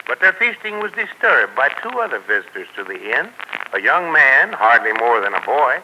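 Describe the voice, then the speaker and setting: A man giving scripted storybook narration in a 1940s radio drama, measured in his storytelling. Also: medium pitch, very animated, sharp tone. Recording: noisy 1940s radio broadcast.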